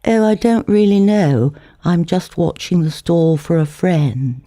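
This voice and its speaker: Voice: mid-range voice, unhurried, warm tone, voice pushed up, close to an everyday voice. A narrator voicing a pleasant, nonchalant girl minding a stall. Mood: breezy innocence.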